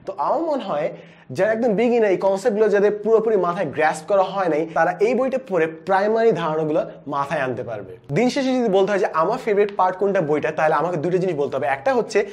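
A man in his twenties with a Bangladeshi accent, presenting a book review in an animated, explanatory way with English words mixed in. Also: sits high, fast talker. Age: 20s. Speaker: book reviewer, educational video host. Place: indoor studio with plain backdrop. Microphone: lapel mic.

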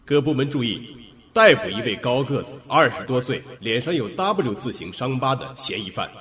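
An actor playing an earnest, dutiful police detective in an audio suspense drama, measured and official in manner. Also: male voice, mid-range voice, animated, fairly bright tone, lightly acted. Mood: commanding.

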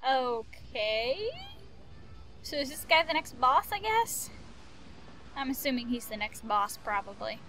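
A young woman giving playful, excitable, singsong commentary as she hosts a casual game playthrough. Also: high-pitched, measured pace, long pauses. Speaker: gaming video host. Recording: game music behind the voice.

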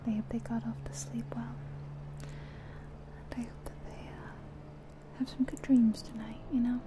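An easygoing female taxi driver on the night shift, played by a woman, murmurs her thoughts to herself. Her voice is a soft, breathy whisper, a little high. The delivery is unhurried ASMR roleplay. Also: flat delivery, natural voice. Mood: calm, musing. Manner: slow, musing to herself.

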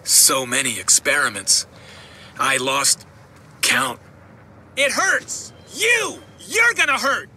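A man's voice: on the high side, expressive, slow pace, long pauses, sharp tone, heavily acted. An actor voicing an angry, brash teenage superhero in a video game, cocky and slangy with his taunts. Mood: pained. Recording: game music and noisy battle effects behind.

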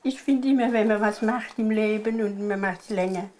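A woman's voice: mid-range voice, normal speed, dark tone, a little hiss or hum. A woman in her eighties with a Palatinate accent, patiently showing how to cook in her home kitchen, often chuckling. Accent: Palatinate German. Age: 80s. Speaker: farm cook sharing recipes. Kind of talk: casual cooking chat. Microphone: lapel. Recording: clattering dishes and pans behind.

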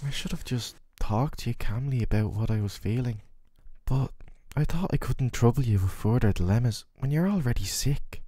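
A man's voice: mid-range voice, animated, normal speed, mellow, dry recording, lightly acted. A man playing a worried, tender boyfriend in an audio roleplay, speaking in a soothing, earnest, affectionate way. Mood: apologetic, loving. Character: worried, tender, doting boyfriend caring for his sick partner. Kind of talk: scripted boyfriend roleplay ASMR.

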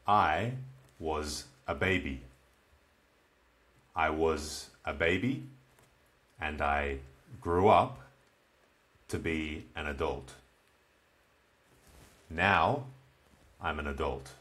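A man in his twenties with an Australian accent, teaching beginners in very slow, simple, repeated phrases. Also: very deep voice, a bit bright. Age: 20s. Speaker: English teacher. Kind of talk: slow comprehensible-input lesson for beginners. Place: small plain room indoors.

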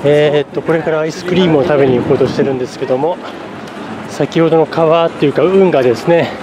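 A man's voice: on the high side, quick pace. A man narrating his travel vlog in casual chat, thinking aloud with lots of fillers as he walks around a city and eats. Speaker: travel vlogger. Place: outdoors on city streets and in a cafe. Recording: street noise behind, camera mic.

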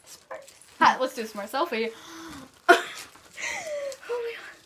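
Teenage female vlogger, goofy and giggly, very high helium-tinged voice, clear background noise. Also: sing-song, slow pace, fairly bright tone. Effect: helium-squeaky voice at times. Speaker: vlog host. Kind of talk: casual playful chat.

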